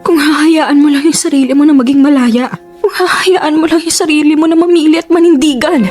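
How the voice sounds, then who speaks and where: A woman's voice: sits high, fairly even intonation, brisk, darkish tone, faint music behind, close to an everyday voice. An actress playing a heartbroken young woman in love in a Tagalog radio romance, pleading and reproachful with pressing questions. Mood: pleading, insistent. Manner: emotional, pressing rhetorical questions.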